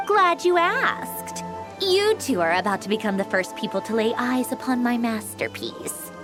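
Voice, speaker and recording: A woman's voice: fairly high pitch, expressive, measured pace, over music. A woman voicing a haughty young genius inventor in a video game, smug, theatrical and impatient. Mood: smug, boastful.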